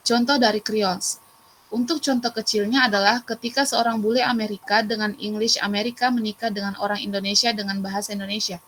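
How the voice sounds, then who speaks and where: Young woman, student presenter, mid pitch, steady even reading, faint background hum. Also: a little fast.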